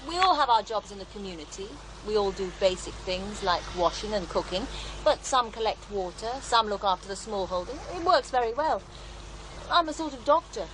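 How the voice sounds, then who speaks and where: A middle-aged healer living in a commune , played by an actress, with an ordinary mid-range voice. She is wry, down-to-earth and gently teasing, in an audio drama with clear background ambience. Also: mid-range voice, lively intonation, a little fast, close to an everyday voice. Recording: noisy outdoor ambience bed.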